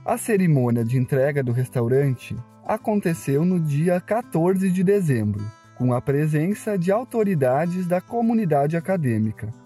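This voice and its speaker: A man with a Brazilian Portuguese accent reads a campus news report in a steady, measured way. His voice sits a little high and sounds mellow. Soft music runs under it. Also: husky. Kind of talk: scripted news narration.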